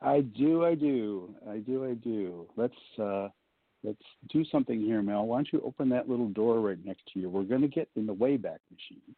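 A man with a low baritone voice, a guest on a call-in show, telling a story casually and joking along the way, with many fillers. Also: animated, normal speed, dry recording. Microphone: phone. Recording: thin phone line.

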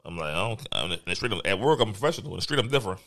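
A man co-hosting a laid-back podcast, speaking with a bright, forward tone. His pitch swings widely as he tells loose, rambling stories. He keeps breaking into laughter between lines. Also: sing-song, very fast. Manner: laughs often, loose storytelling with restarts. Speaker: podcast co-host. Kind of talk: casual podcast banter.

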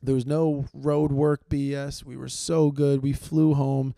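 A man's voice: mid-range voice, flat delivery, unhurried, creaky, dark tone, clean tone, no echo, clean studio sound. A young man hosting a solo comedy podcast, rambling and self-deprecating with lots of fillers and restarts. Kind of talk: casual solo comedy monologue. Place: small room, filmed on video.

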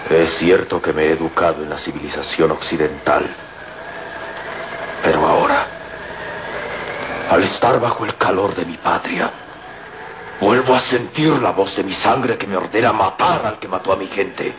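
An actor playing a proud, grieving Egyptian man bent on revenge, speaking gravely and formally in a declamatory radio serial style. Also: sits low, moderate pace, pauses often, close to an everyday voice. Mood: resolute, vengeful. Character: solemn, vengeful Western-educated Egyptian man, proud and grieving. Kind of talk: melodramatic radio serial acting. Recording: muffled noisy old radio recording.

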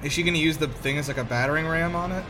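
A man's voice: fairly high pitch, rapid-fire, noisy background. A man giving casual, wry film commentary as a podcast co-host, exclaiming and swearing freely.

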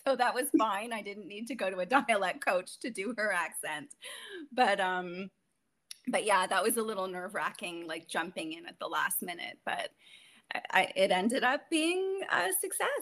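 A woman's voice: medium pitch, animated, moderate pace, fairly bright tone. A woman in her thirties from Ontario, Canada, a guest actress chatting in an interview, telling stories and laughing often. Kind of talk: casual interview chat.

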